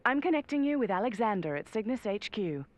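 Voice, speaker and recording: An actress playing a poised, dryly amused spy handler who guides an agent through an interactive audio game. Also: female voice, on the high side, big pitch swings, a little fast. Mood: wry. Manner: cool, composed, wry. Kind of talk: scripted interactive game narration.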